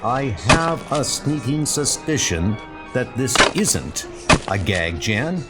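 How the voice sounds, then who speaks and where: A man's voice: mid-range voice, sing-song, slow, loud music behind the voice. A man voicing a serious, fretful scientist hero in a scolding manner. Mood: serious, wary.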